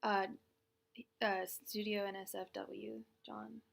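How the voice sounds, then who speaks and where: A woman's voice: medium pitch, very animated, creaky. A young woman hosting a tarot livestream, chatting casually, greeting viewers by name and laughing often. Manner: says she talks fast.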